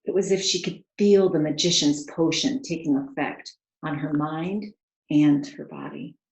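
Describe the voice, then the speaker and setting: A woman's voice: sits low, normal speed, vocal fry. A woman reading a children's story aloud as its author, narrating with dramatic, earnest expression. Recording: roomy, echoing home recording.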